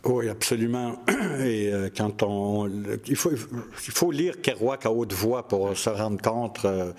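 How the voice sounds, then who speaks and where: Older man, low and unhurried. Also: background noise. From Quebec, Canada. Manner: hesitant, pauses often with many fillers. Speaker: storyteller and festival organizer. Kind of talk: relaxed interview conversation.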